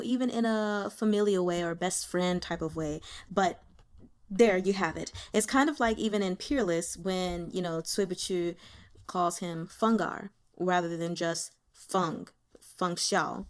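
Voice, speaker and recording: A woman giving casual, chatty commentary as a host and reader, in an informal and expressive manner. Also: medium pitch.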